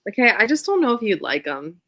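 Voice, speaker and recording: A woman's voice: fairly high pitch, big pitch swings, brisk, bright, forceful tone, dry recording, clean studio sound. A woman chatting casually and expressively about books as a co-host, in an informal, emphatic way. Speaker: book show co-host. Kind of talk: casual book chat.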